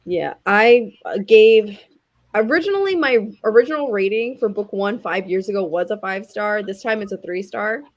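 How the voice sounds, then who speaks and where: A woman with a medium-pitched voice chats casually in a book discussion. She talks as one of the co-hosts. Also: expressive, moderate pace. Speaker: read-along co-host. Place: online live video chat.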